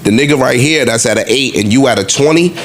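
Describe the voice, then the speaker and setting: A man's voice: medium pitch, fast talker, fairly bright tone. An American man on a podcast panel, making his case casually and emphatically with repeated points.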